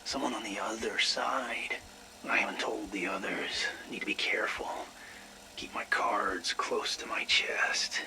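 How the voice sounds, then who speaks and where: Frazzled deep-voiced American scientist. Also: male voice, fairly even intonation, whispered, low-quality recording. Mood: anxious, secretive. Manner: halting, nervous rambling with self-interruptions. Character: frazzled, paranoid American male scientist, sleepless and unravelling. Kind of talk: dramatic audio log monologue.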